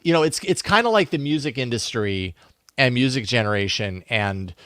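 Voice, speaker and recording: A man's voice: mid-range voice, expressive, moderate pace, fairly bright tone, dry recording, studio quality. A man co-hosting a podcast, talking through tech news casually and conversationally, thinking aloud as he goes. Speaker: podcast co-host presenting news.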